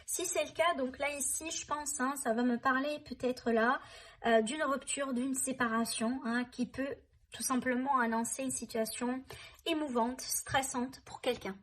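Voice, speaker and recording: A woman's voice: sits high, steady tone, measured pace. A woman doing a tarot reading for her viewers, speaking in a reassuring way, often checking in with "hein" and "d'accord". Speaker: tarot reader.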